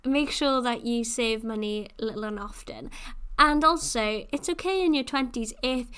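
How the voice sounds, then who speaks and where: A woman's voice: on the high side, normal speed, fairly bright tone, smooth. A woman, hosting a casual solo podcast and chatting freely, with plenty of "like" and "you know.". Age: 20s. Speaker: podcast host.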